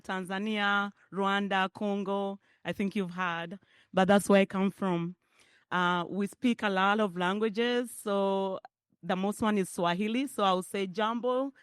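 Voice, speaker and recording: A middle-aged woman from Uganda with a Ugandan accent, speaking warmly and chattily at a public forum and laughing often. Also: mid-range voice, fairly even intonation, unhurried, clear, resonant tone, no echo, clean studio sound. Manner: warm, emotional, chatty asides with frequent laughter. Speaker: guest speaker, parent advocate. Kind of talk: personal speech at a public forum. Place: hotel ballroom event.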